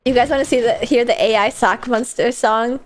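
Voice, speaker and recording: A woman's voice: a little high, steady tone, brisk. A young woman streaming a game while sick, chatting in a playful, giggly, offhand way. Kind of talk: casual gameplay chat.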